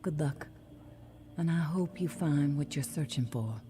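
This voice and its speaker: An actress playing a calm, guarded survivor of a zombie apocalypse in a video game, speaking in a measured, earnest way. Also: female voice, low-pitched, a little hiss or hum. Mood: wistful, earnest.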